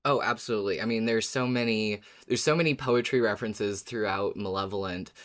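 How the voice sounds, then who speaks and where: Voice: mid-range voice, fairly quick. A podcast host chatting casually about a story, with lots of "like" and "you know". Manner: casual, relaxed, filler-heavy.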